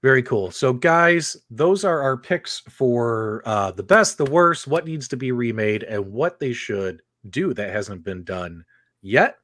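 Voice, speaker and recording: A man's voice: medium pitch, expressive, unhurried, a bit bright, no echo, clean studio sound. Man hosting casually with an enthusiastic delivery. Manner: enthusiastic, repeats phrases for emphasis. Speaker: host of a video game talk show. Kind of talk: casual livestream chat.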